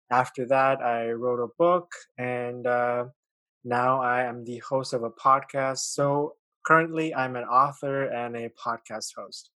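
A man's voice: medium pitch, fairly bright tone, no room sound. A man in his late twenties who grew up in Pakistan, a guest on a podcast, talking in a friendly, hesitant way with many fillers. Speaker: guest, author and podcast host. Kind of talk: casual interview chat.

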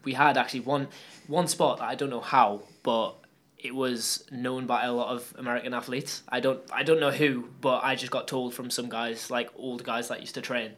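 A man's voice: medium pitch, steady tone, moderate pace, a bit bright. A young man with a Geordie accent, from Newcastle, chatting casually as an interview guest, with a rambling manner and lots of fillers. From Newcastle upon Tyne, England. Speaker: guest athlete.

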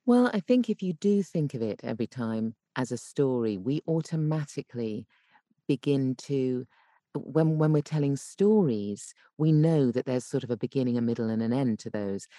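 Woman with a mellow, expressive voice. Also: very deep voice, normal speed, dry recording, studio quality. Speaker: guest voice coach. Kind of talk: conversational explaining on a podcast.

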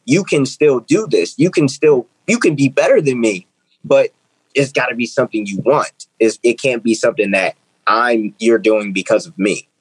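An earnest young man in his twenties talks through his ideas in an interview. He often restarts and repeats phrases as he builds toward a point. His voice is close and dry, with a middling pitch. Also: moderate pace, slightly rough, no room sound, rough recording. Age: 20s. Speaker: guest, author and speaker. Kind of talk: interview conversation.

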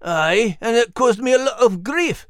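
A gruff little Scottish dog for a children's audio story. The delivery is very animated, with a blustery, puzzled manner and a warm streak. The sound is dry and close. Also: male voice, extremely high pitch, measured pace, no room sound. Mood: curious, wry. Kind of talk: scripted character dialogue in a children's audio story show.

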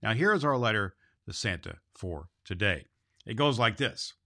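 A man's voice: on the low side, expressive, moderate pace, pushed and bright. A man playing a jolly, kindly Santa Claus who hosts a children's storytelling show in a cheery, folksy manner. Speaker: host and storyteller. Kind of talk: children's storytelling show, addressing boys and girls.

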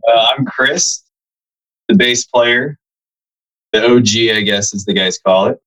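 A man from Virginia, a band's bassist, talking casually as a podcast guest from a home room, relaxed and rambling with lots of "like". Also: takes it slowly, poor audio. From Virginia, USA. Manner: relaxed, rambling, says "like" often. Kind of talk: casual interview chat. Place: home room where the band records.

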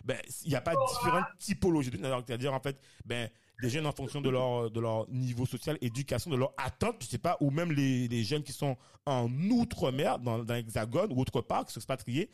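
A man in his thirties hosting a casual discussion podcast, animated and given to repeating words and restarting. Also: medium pitch, fairly quick, hardly any pauses. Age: 30s. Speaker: podcast host.

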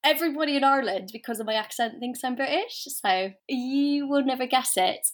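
A woman from Canada with a slightly high voice talks as a podcast guest. She is breezy and jokey and laughs easily. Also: a little fast. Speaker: guest, cybersecurity specialist. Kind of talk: casual podcast interview.

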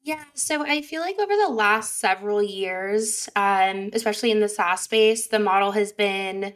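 High-energy chatty woman podcast guest. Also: moderate pace, fairly bright tone. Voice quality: higher pitched voice. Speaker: sales representative guest. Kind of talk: casual podcast interview.

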